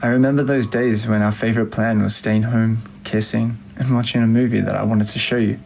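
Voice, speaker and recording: A man's voice: on the low side, little pitch movement, brisk, gravelly, a little mellow, a little hiss or hum. A man playing a heartbroken, nostalgic young man who reads out unsent love letters in a film voice-over, confiding and wistful. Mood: tender, nostalgic.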